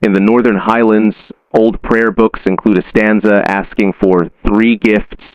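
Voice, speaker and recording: A man reading a scripted horror report like a documentary, in a measured, solemn and deliberate manner. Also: lowish voice, little pitch movement, clear, resonant tone. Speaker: narrator of a horror story. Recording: dull lo-fi sound with room echo.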